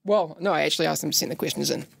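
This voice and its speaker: A man's voice: fairly high pitch, rapid-fire, creaky, breathy edge. A man with a New Zealand accent hosting a casual podcast chat, joking and laughing often. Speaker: podcast host.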